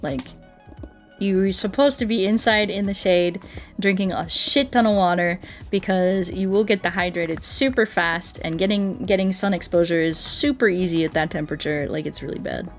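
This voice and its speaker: A woman's voice: on the low side, moderate pace. A young American woman chatting casually on a livestream, rambling along and acting out bits of what people said. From United States. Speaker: streamer. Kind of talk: casual livestream chat while gaming. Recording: music playing behind the voice. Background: background music.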